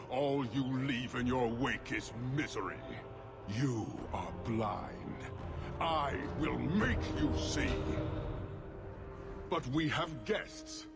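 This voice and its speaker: A man playing an imposing, stern horned giant warlord in a fantasy game, speaking in a deliberate, scornful, declamatory way. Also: medium pitch, lively intonation. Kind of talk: dramatic fantasy game acting. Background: loud dramatic music.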